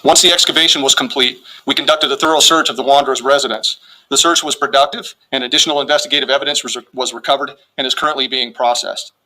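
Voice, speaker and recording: Fast, bright-voiced man reading an official statement. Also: mid-range voice, steady tone, low-quality recording. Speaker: police spokesman. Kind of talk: formal press statement, read out.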